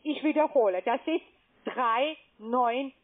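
A high-pitched woman talks slowly and haltingly, her grammar broken as she reads numbers back one by one. The sound is thin and boxy, the way a phone line sounds. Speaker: phone scam caller posing as bank agent. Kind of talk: recorded phone call.

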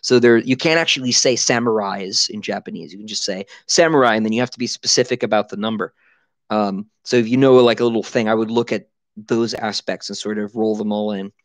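A man in his thirties answering viewer questions in a casual livestream from a small room at home, on a headset mic, animated and full of fillers and restarts. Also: fairly low pitch, fairly quick, dry recording. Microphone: headset boom mic.

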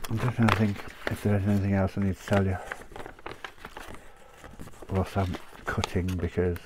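A man's voice: low voice, fairly even intonation, normal speed, background noise. A man with a chesty cough, chatting in a rambling way and laughing often while cutting paper at his craft desk. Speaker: craft channel host. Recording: paper crinkling close by.

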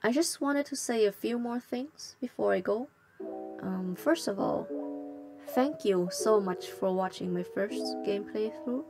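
A woman's voice: medium pitch, a little slow, a little mellow. A woman hosting a let's play who speaks English as a second language, translating the game as she plays and talking in a self-conscious, apologetic way over background music. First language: not English. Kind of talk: casual gameplay commentary and translation.

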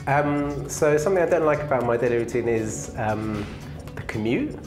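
A man in his thirties from England, answering interview questions in a relaxed, easygoing way with plenty of fillers, on a lapel mic in an indoor lounge. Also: mid-range voice, normal speed, over music. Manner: relaxed, laughs easily, many fillers. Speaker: interview guest, engineering manager.

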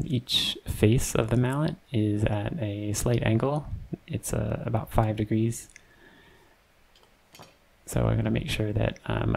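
Low gravelly man narrating his build. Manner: relaxed, unhurried, many ums and restarts. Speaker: woodworking channel host. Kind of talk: casual voice-over narration of a build. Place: home woodshop.

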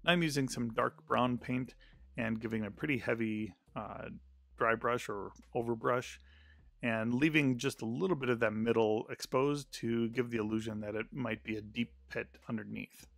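Guy doing a craft how-to voiceover, gravelly, lots of uhs, super dry sound. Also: medium pitch, moderate pace, dry recording. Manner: plain explanatory voice-over with frequent "uh" fillers. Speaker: crafting tutorial host. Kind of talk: step-by-step craft narration.

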